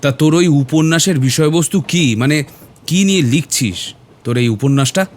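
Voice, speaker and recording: A man's voice: fairly high pitch, normal speed, lightly acted. A man playing a curious, teasing young friend in audio story dialogue.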